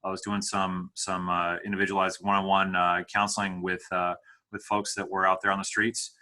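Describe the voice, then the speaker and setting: A middle-aged man from the Midwest, answering interview questions as a charity director in a measured, hesitant way with many fillers. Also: deep voice, flat delivery, sharp tone. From Midwest United States. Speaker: executive director of a homeless services charity.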